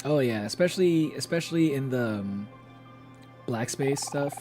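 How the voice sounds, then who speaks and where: A man livestreaming a video game, chatting casually and haltingly with viewers, with informal, sweary speech. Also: medium pitch, expressive, darkish tone. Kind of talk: casual chat with stream viewers. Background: game music.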